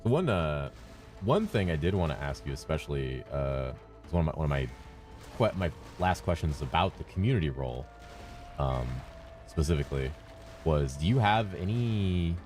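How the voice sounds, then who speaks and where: A man interviewing a developer in a chatty way, with quick stumbling restarts. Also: rumbling low, expressive, darkish tone, faint music behind. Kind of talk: casual interview.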